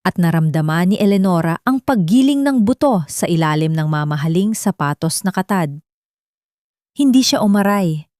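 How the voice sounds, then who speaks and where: A woman's voice: fairly low pitch, animated, moderate pace, a little mellow, no room sound, studio quality. A woman reading a scripted, dramatic audio story as its narrator.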